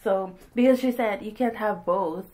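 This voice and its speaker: A woman's voice: medium pitch, moderate pace, a little mellow. A woman in her twenties hosting a casual eating chat, chatty and laughing often. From Sweden. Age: 20s. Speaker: mukbang host.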